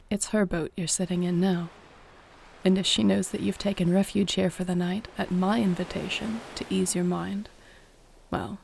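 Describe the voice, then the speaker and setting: Serene female mermaid played by a woman for sleep audio: mellow, soft-spoken, gently formal, unhurried soothing delivery. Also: mid-range voice, gravelly, natural voice. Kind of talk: sleep-aid audio roleplay, soothing bedtime monologue. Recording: faint ambient ocean noise behind.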